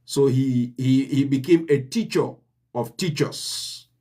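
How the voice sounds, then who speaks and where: A man with a Liberian accent delivers a motivational message in an unhurried, deliberate way. His voice sits at an ordinary middle pitch. He speaks earnestly and repeats key lines to drive them home. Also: dark tone. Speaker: channel host. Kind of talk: motivational address.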